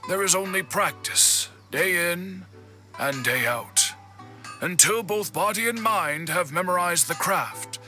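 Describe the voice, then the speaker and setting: A man voicing a dignified, philosophical master swordsmith in a video game, speaking deliberately and with reverence. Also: high-pitched, animated, pushed and bright, exaggerated voice. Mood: reflective, solemn. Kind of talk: scripted video game dialogue. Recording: faint game music behind the voice. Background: faint background music.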